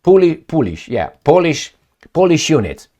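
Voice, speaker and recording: A man's voice: fairly high pitch, very animated, normal speed, mellow. A man from Romania, giving rambling, sarcastic solo commentary on news reports, full of fillers and restarts. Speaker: political commentator. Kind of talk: casual solo commentary reading news aloud.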